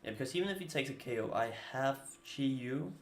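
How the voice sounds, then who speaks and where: A man's voice: medium pitch, expressive, fairly quick, slight background noise. A man with a Danish accent, a native Danish speaker, streaming live and casually thinking aloud about his card-game moves. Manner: thinking aloud while playing, casual, muttering asides. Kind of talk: live gameplay commentary.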